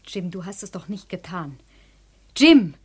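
An actress playing an anxious, loyal wife who pleads and reproaches, in a German crime radio play. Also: female voice, fairly low pitch, big pitch swings, unhurried, breathy edge, dry recording, heavily acted. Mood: pleading. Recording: faintly noisy vintage radio play recording.

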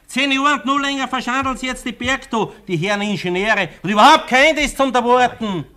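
A scornful Austrian pub grumbler in a radio drama. His voice is high and sharp, and he complains with sardonic emphasis in broad local speech. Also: a little fast. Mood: scornful grumbling. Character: grumbling, sarcastic Austrian village tavern regular. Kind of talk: German radio play dialogue in dialect.

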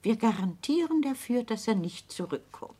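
A woman's voice: fairly high pitch, very animated, moderate pace, mellow, husky. A woman playing a coolly ruthless, pompous elderly widow in a radio play, speaking formally and matter-of-factly. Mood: businesslike. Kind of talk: German radio play acting.

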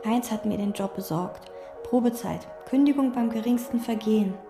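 An actress playing a withdrawn, meticulous chambermaid who speaks precisely and detachedly, in radio drama and inner monologue. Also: female voice, a little high, normal speed, over music, lightly acted. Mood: flat. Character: meticulous, withdrawn woman chambermaid obsessed with cleaning. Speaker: hotel chambermaid.

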